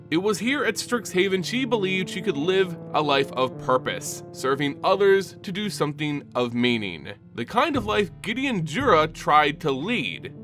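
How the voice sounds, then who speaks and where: A man with a slightly high voice tells a scripted fantasy story as a channel host. His delivery is measured and dramatic, with lively swings in pitch. Soft music plays behind him. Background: background music.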